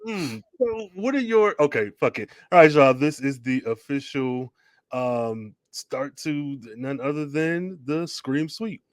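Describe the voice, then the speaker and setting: A man's voice: fairly high pitch, animated, a little slow, slight background noise. A man hosting a casual podcast chat, laid-back, laughing often and swearing casually. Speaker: podcast host.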